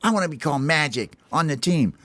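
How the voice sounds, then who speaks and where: A man's voice: fairly high pitch, animated, quick pace, a bit bright, dry recording, pitched above the performer's own voice. A man voicing a cocky, lovestruck young male sparrow who captains a basketball team, talking in a breezy, boastful way. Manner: boastful, breezy, easily distracted.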